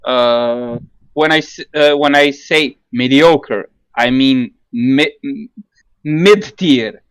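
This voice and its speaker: A man's voice: medium pitch, slow pace, fairly bright tone, smooth, rough recording. A young man co-hosting a casual podcast, teasing dryly and picking his words with care. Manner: dry teasing, precise about word choice. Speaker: podcast co-host. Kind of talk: casual group chat.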